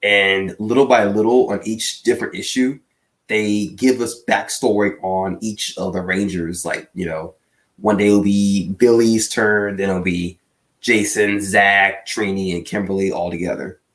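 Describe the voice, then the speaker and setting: A man co-hosting a podcast, explaining things casually in a fan discussion with a hesitant, halting delivery. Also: fairly low pitch, steady tone, fairly bright tone. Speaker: podcast co-host and reviewer.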